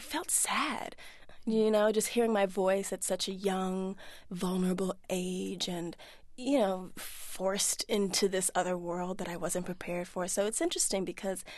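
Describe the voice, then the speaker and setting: A woman in her thirties, from the United States, gives a candid and reflective interview over a remote studio link. Her voice sits in a middle range, and the sound is dry and close. She gets animated and puts on voices when she quotes others. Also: mid-range voice, no echo. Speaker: actress and guest.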